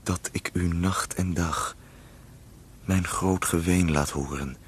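A heartsick young poet. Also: male voice, steady tone, slight background noise. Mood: mournful. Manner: halting, defensive, slow and low. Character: brooding heartsick young male poet, touchy. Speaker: radio drama actor. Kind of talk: 1970s radio play acting. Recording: radio play with music.

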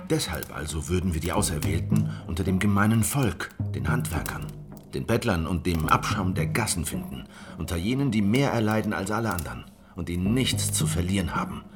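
An actor playing a resolute revolutionary in a German radio drama, narrating and speaking in a deliberate, measured manner. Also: male voice, low-pitched, lively intonation. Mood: resolute, reflective. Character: measured male revolutionary recounting his campaign among outcasts. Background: music.

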